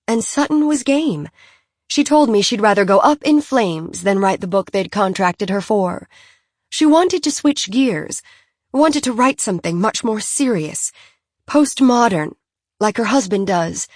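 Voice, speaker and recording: A woman's voice: mid-range voice, dry recording, studio quality, voice pushed up, lightly acted. A woman reading an audiobook, voicing a genteel, fussy mother who talks in a prim, gushing and insistent way. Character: genteel fussy francophile mother, high-strung.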